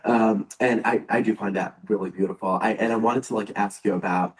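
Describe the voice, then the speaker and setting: A native Arabic speaker in the audience asking a casual question, full of fillers. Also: male voice, on the low side, steady tone, a little fast. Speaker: audience member. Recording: roomy hall, distant mic.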